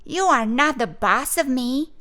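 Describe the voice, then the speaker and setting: A woman's voice: a little high, big pitch swings, slow pace, fairly bright tone, heavily acted. A woman voicing a bossy, indignant and stubborn little schoolgirl for a children's storybook, speaking in a commanding, insistent way. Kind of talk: children's storybook read-aloud.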